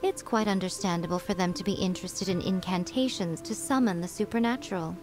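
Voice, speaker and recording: A woman's voice: lowish voice, brisk, music in the background, close to an everyday voice. A woman voicing a sly, wise fox-spirit shrine priestess in a video game, composed and lightly teasing as she lectures.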